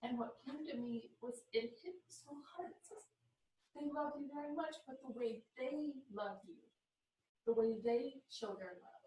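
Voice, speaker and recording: A woman's voice: sits high, moderate pace, dark tone, distant mic. A woman in a group session earnestly sharing a personal experience. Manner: earnest, heartfelt. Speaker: group member. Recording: roomy, from off-mic.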